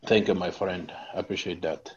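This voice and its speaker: A man's voice: sits low, fairly even intonation, brisk, highs cut off, slight background noise. A man from Mexico with a Mexican Spanish accent, Spanish his first language, giving an online training talk with many fillers and restarts. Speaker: network marketing trainer.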